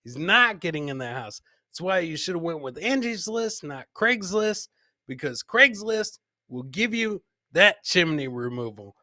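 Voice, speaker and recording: Bright, high-voiced man hosting comedy. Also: very animated, a little slow, no room sound, clean studio sound. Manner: boisterous, jokey, repeats catchphrases. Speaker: podcast host. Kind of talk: live comedy commentary on video clips.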